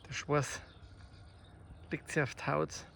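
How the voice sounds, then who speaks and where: A man with a Bavarian accent improvises spoken poetry outdoors in a slow, reflective, halting way, in short phrases with long pauses. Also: on the high side, little pitch movement, creaky, a bit bright. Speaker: amateur poet. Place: outdoors in the countryside. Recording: faint outdoor nature noise. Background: birdsong and rural ambience.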